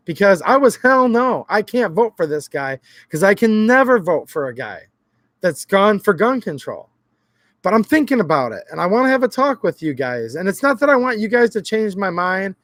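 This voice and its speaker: A hoarse man hosting his own gun channel, talking earnestly and casually straight to his viewers, often calling them guys. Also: sits high, a bit bright, no room sound. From Michigan. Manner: earnest, talks directly to viewers as guys, repeats himself. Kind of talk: casual talk to camera.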